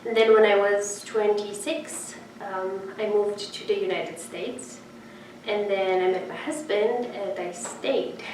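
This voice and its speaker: A woman's voice: mid-range voice, steady tone, slow, a little mellow, distant mic, a little hiss or hum. Woman speaking earnestly from afar. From Romania. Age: 30s. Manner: earnest, reflective, with frequent hesitations. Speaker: seminarian. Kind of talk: personal introduction. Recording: echoey room, voice from off-mic.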